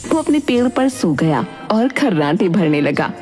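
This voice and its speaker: A woman's voice: on the low side, sing-song, unhurried, mellow, faint music behind. A woman giving scripted storybook narration for a cartoon tale.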